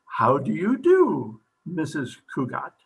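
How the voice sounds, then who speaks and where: Pompous, high-pitched doctor with swooping delivery man acting. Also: wildly swooping pitch, slow, creaky, heavily acted. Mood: grave. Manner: pompous, theatrical. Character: pompous, overexcitable male psychiatrist in a radio comedy. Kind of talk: old-time radio comedy acting.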